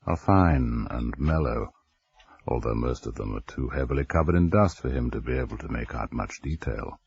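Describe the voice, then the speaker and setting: Spooky story narrator, super deep husky voice, dramatic pauses, lots of rise n fall, radio drama. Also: male voice, darkish tone, no room sound, dull audio, exaggerated voice. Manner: measured phrasing with suspenseful pauses. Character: grave male storyteller of a ghost tale.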